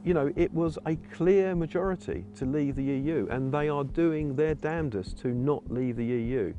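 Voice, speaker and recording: Loud man, protester, emphatic, mellow tone, faint music behind. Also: fairly high pitch, moderate pace. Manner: forceful, emphatic with restarts.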